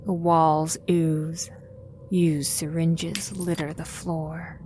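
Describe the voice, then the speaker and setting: A woman narrating a scripted audio drama in a measured storytelling manner. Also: low voice, level tone, mellow, a little hiss or hum.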